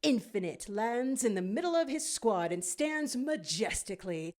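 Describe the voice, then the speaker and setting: A woman's voice: fairly high pitch, animated, normal speed, darkish tone. A woman reading scripted fiction as narrator, with dramatic storytelling delivery.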